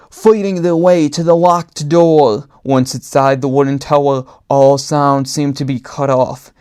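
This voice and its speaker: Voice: mid-range voice, dark tone. Dramatic storyteller reading a comic horror tale. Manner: dramatic, acts out character voices. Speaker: storyteller and writer.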